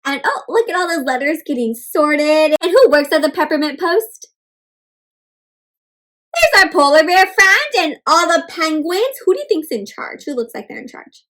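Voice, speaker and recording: A woman's voice: sits high, expressive, normal speed, fairly bright tone. A woman hosting an interactive storybook read-aloud for kids, asking questions and explaining words simply. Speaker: children's read-aloud host, teacher.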